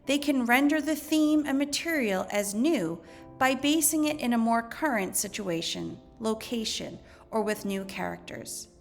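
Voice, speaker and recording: Higher-pitched woman reading a lesson aloud. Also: expressive, a little slow, vocal fry, faint music behind. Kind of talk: educational read-aloud narration.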